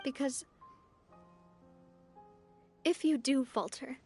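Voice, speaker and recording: An actress playing a gracious, warm young noblewoman in a video game, speaking gently and softly with light laughs. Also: high voice, takes it slowly, soft background music. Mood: reflective. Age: young adult. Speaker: leader of a family organization.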